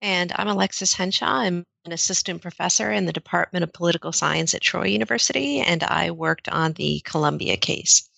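Bright, gravelly-edged woman academic. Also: fairly low pitch, brisk, no room sound. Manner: articulate, steady, with fillers. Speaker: guest author, professor. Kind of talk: podcast interview answers.

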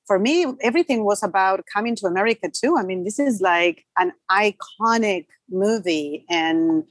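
A woman's voice: mid-range voice, normal speed, dry recording. A woman from Argentina, a native Spanish speaker, answering conversationally as a film makeup artist in an interview.

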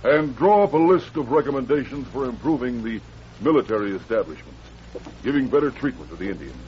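A man's voice: mid-range voice, animated, fairly quick, close to an everyday voice. An actor playing an earnest, slightly pompous congressman with formal, oratorical speech in an old radio western. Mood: earnest, decisive. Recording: muffled, noisy vintage radio recording.

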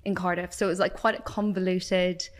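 Low, even-voiced Irish woman. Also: fairly quick. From Ireland. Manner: thoughtful, with many likes and ums. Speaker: doctor and nutritionist guest. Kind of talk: podcast interview.

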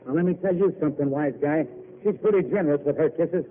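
A man's voice: fairly high pitch, fast talker, slight background noise, natural voice. A man playing a brash, jealous young man who picks fights, in a 1940s radio play. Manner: blustering, aggressive. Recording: tinny old radio broadcast.

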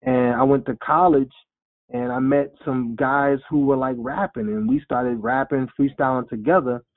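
A man in his forties telling his life story in an interview, animated and quoting himself in lively voices. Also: medium pitch, normal speed. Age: 40s. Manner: animated storyteller, acts out quotes. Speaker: guest, rapper. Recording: phone-like lo-fi sound.

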